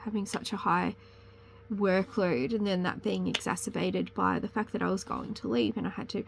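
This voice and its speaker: A woman's voice: mid-range voice, steady tone, moderate pace, gravelly, a little hiss or hum, low-quality recording. A young woman with a slight cold chats casually to camera as host of a crafting channel, rambling and correcting herself as she goes. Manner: rambling, chatty, self-correcting. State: sniffly with a cold.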